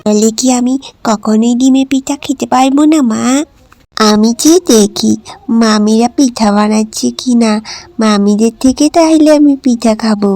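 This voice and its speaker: Woman playing a wistful, high-voiced little village girl. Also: normal speed, mellow, soft background music, close to an everyday voice. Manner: pleading, childlike.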